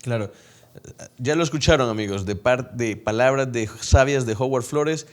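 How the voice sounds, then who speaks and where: A man's voice: medium pitch, moderate pace. A man, hosting an interview show in a casual, conversational style.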